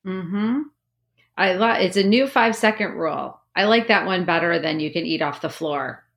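Woman hosting a podcast, lowish voice, upbeat, laughs a lot. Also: moderate pace, vocal fry, a bit bright. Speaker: podcast host. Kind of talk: casual interview talk.

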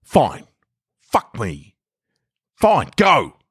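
A man acts out a frantic getaway passenger in a crime story. The robber is bossy and foul-mouthed, snapping impatiently in a voice pushed well up in pitch. The sound is close and dry. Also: huge pitch swings, very slow, a bit bright, breathy edge, no room sound, full character voice.

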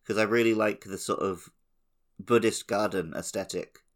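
A man's voice: fairly low pitch, fairly even intonation, normal speed. A man co-hosting a video game podcast in an informal, slangy, conversational way. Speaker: podcast co-host. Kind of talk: casual video game chat.

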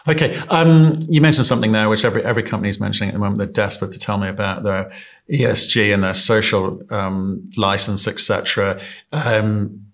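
A male podcast host with a voice that sits a little low. He asks probing questions in an easygoing, chatty way. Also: steady tone, a little fast, a bit bright. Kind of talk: investment interview.

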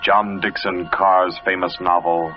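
A man's voice: sits low, little pitch movement, unhurried, soft background music. An American man giving a formal, grandiose scripted introduction as a 1940s radio announcer. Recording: muffled vintage radio recording.

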